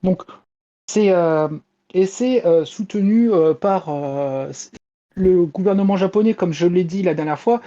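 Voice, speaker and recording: Podcast panelist, male, 40s. Dark, relaxed tone, halting casual delivery, lo-fi sound. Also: high voice. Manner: hesitant, searching for words. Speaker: podcast panelist giving manga news. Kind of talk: casual news chat. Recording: slightly dull, lo-fi voice track.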